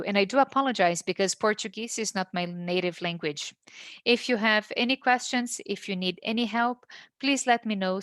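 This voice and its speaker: A woman's voice: mid-range voice, fairly bright tone, dry recording. Woman presenting trade figures, dry sound. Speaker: webinar presenter. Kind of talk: formal business presentation.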